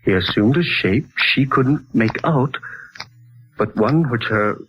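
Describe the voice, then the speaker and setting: On a muffled 1940s radio recording, an educated man of medium pitch. He sounds earnest and confident, with grave, precise, theatrical phrasing. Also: expressive, slow pace, a little hiss or hum. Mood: speculative, intrigued.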